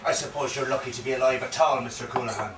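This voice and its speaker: An actor playing an earnest, sympathetic drinker prompting a storyteller in a live stage play. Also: male voice, medium pitch, steady tone, quick pace, natural voice. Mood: earnest, curious. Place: pub room, away from the mic. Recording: distant, echoey live recording, clear background noise.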